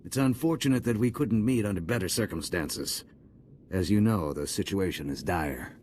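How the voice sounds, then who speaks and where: A grave supreme commander in a video game, voiced by a man, with a lowish voice. He speaks formally and with measure over faint background ambience. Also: normal speed, a little mellow, slight background noise, lightly acted. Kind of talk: video game dialogue acting.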